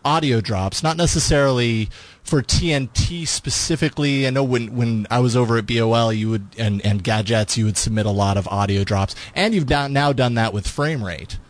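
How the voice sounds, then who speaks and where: Agreeable mid-pitched male podcast co-host. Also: moderate pace, no echo. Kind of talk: casual panel chat.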